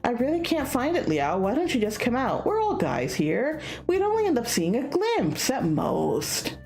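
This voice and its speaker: Woman as bratty male upperclassman in a visual novel, higher pitch, swooping playful teasing, laid-back. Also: wildly swooping pitch, moderate pace, a little mellow, soft background music.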